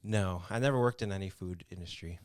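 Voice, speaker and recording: Laid-back low-voiced man. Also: fairly quick, no room sound, clean studio sound. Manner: laid-back, laughs often, short replies. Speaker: podcast guest. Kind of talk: casual chat.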